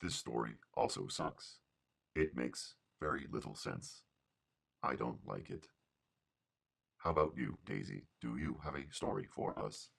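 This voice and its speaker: A gravelly, rock-bottom grump. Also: male voice, extremely low pitch, expressive, a little slow, voice pushed down, heavily acted. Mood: grumbling. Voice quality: gravelly, husky. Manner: blunt, dismissive. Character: gruff grumbling deep-voiced male critic. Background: music.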